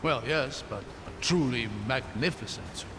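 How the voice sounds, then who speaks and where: Video game scholar, male actor, middle-aged, mid-pitched voice, learned and curious, thoughtful articulate delivery. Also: expressive, normal speed, background noise, lightly acted. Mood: awed. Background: game soundtrack music.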